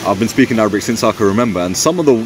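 A man's voice: fairly low pitch, expressive, rapid-fire. A young man chatting casually as the host of a video, recorded outdoors in a park. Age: young adult. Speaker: host of a history video channel. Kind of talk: casual chat to camera and guest. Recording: outdoor park noise, distant traffic.

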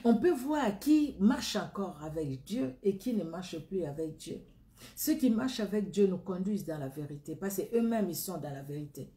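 A middle-aged woman with a Congolese accent preaches and prays aloud, her words earnest and returning again and again to the same phrases. Her voice is mellow and warm. She is recorded on a webcam in a room that rings with echo. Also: fairly low pitch, expressive, moderate pace. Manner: earnest, repetitive, rising fervor in prayer. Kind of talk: sermon and spoken prayer. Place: indoor room against a plain wall.

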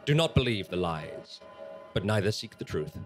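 An ominous storyteller, performed by a man with a lowered voice and music behind. The scripted narration of an eerie tale is grave, measured and portentous. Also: big pitch swings. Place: small theatre stage before an audience. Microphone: stand microphone.

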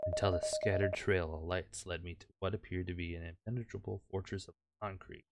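A man hosting a let's play, reading visual novel text aloud in a playful, dramatic way with stumbles and joking asides. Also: lowish voice. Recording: music behind the voice.

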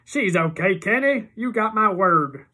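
A narrator voicing a nervous, placating gang boss man who talks loudly and anxiously. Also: mid-range voice, animated, a little slow, husky, pitched above the performer's own voice, heavily acted.

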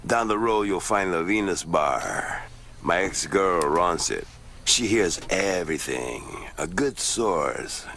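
An actor playing a shrewd, self-assured island drug boss in a video game, speaking in a measured, menacing way. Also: male voice, deep voice, expressive, a bit bright, a little hiss or hum, full character voice. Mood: confident, smooth. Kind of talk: video game cutscene acting.

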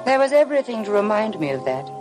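An actress playing a timid, eager young bride in a 1950s radio drama, speaking earnestly and politely. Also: female voice, mid-range voice, big pitch swings, fast talker, over music, close to an everyday voice. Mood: brooding narration.

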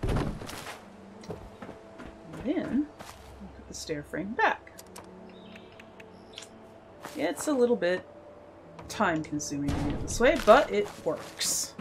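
A slightly hoarse woman at a mid-range pitch talks a viewer through a build, her voice catching a gravelly fry at phrase ends. She explains step by step in a friendly, casual way, now and then clearing her throat. A faint hiss sits behind the voice-over. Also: very animated, slow, pauses often. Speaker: game channel host. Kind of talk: casual tutorial narration over gameplay.

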